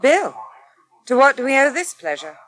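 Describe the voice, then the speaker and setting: An actress playing a blunt, indignant and protective young flatmate in a naturalistic radio soap, sharp-tongued. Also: female voice, high-pitched, moderate pace, a little hiss or hum. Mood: cool.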